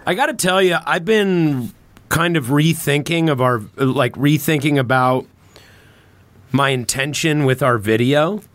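A man's voice: a little high, unhurried, fairly bright tone, dry recording. A man hosting a podcast in casual conversation, rambling along with informal slang and swearing. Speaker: podcast host.

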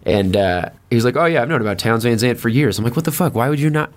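A man's voice: mid-range voice, animated, fast talker. A man chatting casually as a podcast guest, telling stories in a blunt, slangy, sweary way. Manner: blunt, slangy, heavily profane. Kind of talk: casual storytelling and chat.

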